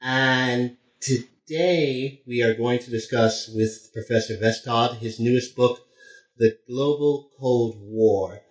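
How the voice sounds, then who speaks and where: Formal male interviewer in a roomy space. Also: medium pitch. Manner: formal, halting with many "uh" and "um". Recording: roomy, dull lo-fi sound.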